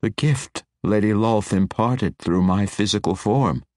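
A man voicing a despondent male dark elf wizard who speaks slowly and mournfully. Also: on the low side, dry recording, clean studio sound, lightly acted. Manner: slow, mournful, hushed.

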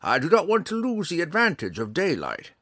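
Crafty, commanding old blind ranger, high-pitched. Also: male voice, huge pitch swings, a bit bright, dry recording, studio quality, exaggerated voice. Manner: brisk, theatrical, old-fashioned formal phrasing. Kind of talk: fantasy audiobook character voice.

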